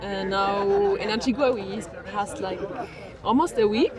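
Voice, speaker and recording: A woman's voice: mid-range voice, a little slow. Hesitant woman in a crowd. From Austria. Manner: hesitant, searching for words, uses "um" and "like". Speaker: tourist being interviewed. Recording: crowd chatter around.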